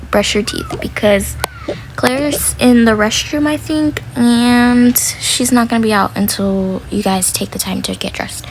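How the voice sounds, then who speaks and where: A woman's voice: on the high side, noisy background. A woman playing a practical, mildly stern mother who chides and instructs her kids in a family role play. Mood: instructing.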